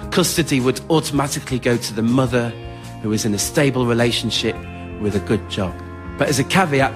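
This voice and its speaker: A man performs an autobiographical monologue from a theatre stage. His delivery is wry, understated and plain-spoken, with a medium-pitched voice. Faint music sits behind him. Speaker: writer-performer of a solo radio show. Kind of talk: autobiographical storytelling before a live audience. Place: theatre stage in front of an audience.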